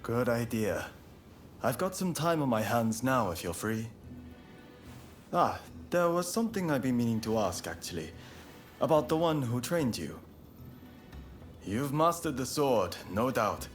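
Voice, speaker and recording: An actor playing a fiery, proud and idealistic young samurai in a video game, with earnest, formal phrasing. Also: male voice, medium pitch, very animated, a little slow, long pauses, faint music behind. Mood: reflective, warm. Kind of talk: video game cutscene acting.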